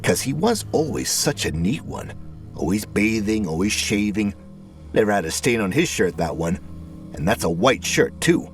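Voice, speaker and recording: A man voicing a chatty, nosy, eccentric male neighbor in an audio story, with a folksy, rambling, excitable way of talking. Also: on the low side, normal speed, faint music behind, voice pushed up. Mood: gossipy, conspiratorial.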